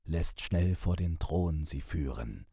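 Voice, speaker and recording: A man reciting a classic ballad as an audiobook narrator, solemn, deliberate and expressive. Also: rumbling low, husky, dry recording, studio quality. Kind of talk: dramatic poetry recitation, audiobook.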